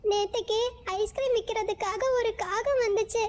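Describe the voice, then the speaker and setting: A woman's voice: extremely high pitch, fairly even intonation, normal speed, pitched above the performer's own voice. A woman voicing a frightened little female sparrow in a cartoon who wails in distress. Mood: distraught. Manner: wailing and distressed.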